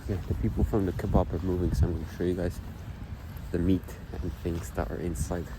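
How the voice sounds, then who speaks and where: A man's voice: low voice, fairly even intonation, fast. A young man from Poland with a Polish accent, speaking English as a second language, rambling through a casual walking-tour vlog on a phone outdoors. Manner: rambling, self-correcting. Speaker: travel vlogger. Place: outdoor town square. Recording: outdoor street noise.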